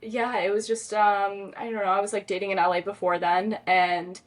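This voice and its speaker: A young woman is a guest on a podcast interview and tells a personal story in a loose, chatty way. She rambles a little and fills her sentences with "like" and "um". Her voice sits at an ordinary middle pitch. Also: level tone, moderate pace, rough recording. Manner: chatty, rambling, lots of "like" and "um" fillers.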